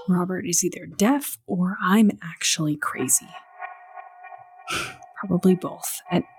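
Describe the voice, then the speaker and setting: An actress playing an anxious, sleepless young woman who talks in a hushed, exasperated way and often whispers. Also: mid-range voice, rough recording. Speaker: Christine, anxious girlfriend. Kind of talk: audio drama acting.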